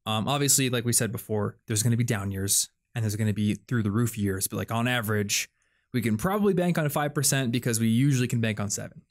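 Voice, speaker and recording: A young man talks through ideas on a casual podcast. He explains loosely and often fills pauses with "like" and "um". His voice sits in a middle range. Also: mid-range voice. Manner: chatty, explains things with lots of "like" and "um". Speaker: podcast host.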